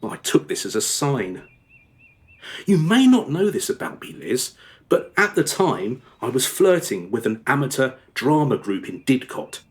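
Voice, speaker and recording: A male actor plays a guilt-ridden married father confessing to his daughter in a radio drama. His mid-pitched voice swings up and down expressively as he rambles through every detail, hesitating as he remembers. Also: sing-song, unhurried. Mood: wistful, reminiscing. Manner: rambling, over-descriptive, hesitant reminiscing. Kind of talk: radio play dialogue, storytelling monologue.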